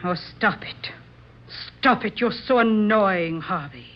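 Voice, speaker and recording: An actress playing a bride possessed by a dead wife, theatrical and halting in old-time radio style, on a worn old recording. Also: female voice, mid-range voice, expressive, dull audio. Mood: cold, accusing. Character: vengeful dead wife speaking through a frail young bride.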